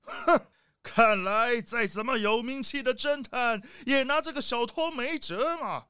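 A man's voice: very high voice, unhurried, bright, forceful tone, clean tone, no room sound, clean studio sound, heavily acted. A man playing an arrogant, short-tempered rich leopard boss with a sneering, blustery manner.

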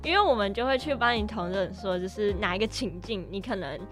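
Mid-pitched woman co-hosting a podcast. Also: lively intonation, a little fast, music in the background. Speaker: podcast co-host. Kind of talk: casual chat.

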